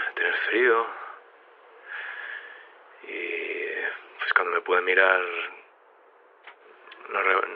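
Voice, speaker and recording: A man playing a groggy, just-woken scientist in a fiction podcast, rambling hesitantly through a voice message about waking in a borrowed body. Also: low voice. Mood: groggy, confused. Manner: hesitant, halting, muttering. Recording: dull lo-fi voice message.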